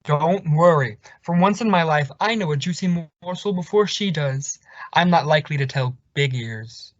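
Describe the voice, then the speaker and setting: A man's voice: fairly high pitch, expressive, moderate pace, no echo, lightly acted. Jovial neighbour pal played by a man, conspiratorial, wry, vintage radio comedy, dry and muffled sound. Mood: gleefully conspiratorial. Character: jovial, gossipy, conspiratorial male friend and neighbour. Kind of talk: 1940s radio sitcom acting. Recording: dry, muffled old-time radio style recording.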